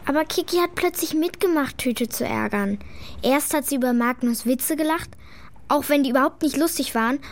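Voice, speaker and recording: Earnest little schoolgirl in a kids radio play, high voice, tells it straight. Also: normal speed. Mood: earnest, hurt. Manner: matter-of-fact, childlike. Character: earnest, frank schoolgirl witness. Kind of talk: naturalistic children's radio play.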